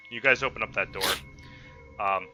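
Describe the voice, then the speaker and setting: A man with a very low voice runs a tabletop game, reading out scenes and chatting casually with players. The recording is slightly dull, with music playing clearly behind him. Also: huge pitch swings, unhurried, pushed and bright. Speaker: game master. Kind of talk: tabletop roleplay narration with casual table chat. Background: background music.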